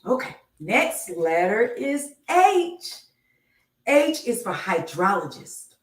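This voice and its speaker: A woman reading a children's picture book aloud on a live stream, enunciating deliberately like a teacher. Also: on the high side, very animated. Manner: slow, deliberate enunciation with teacherly announcements of each letter. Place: indoor room, live stream. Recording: roomy, echoey, distant microphone.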